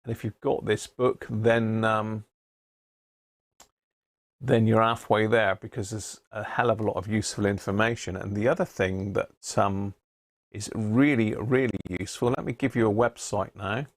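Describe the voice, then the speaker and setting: A man's voice: fairly low pitch, fairly even intonation, moderate pace, no room sound. A man hosting a casual live stream, explaining things informally and without hurry as he demonstrates his hobby.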